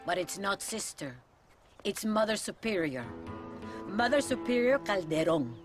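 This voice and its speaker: An actress playing a dry-witted older mother superior, speaking in a measured, sardonic way in a video game cutscene. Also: mid-range voice, big pitch swings. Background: game score music.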